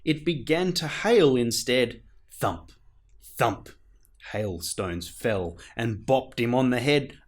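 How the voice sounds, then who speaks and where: A man reading a children's picture book aloud as a storyteller, in a theatrical manner with dramatic pauses. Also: medium pitch, animated. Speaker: storyteller, show host.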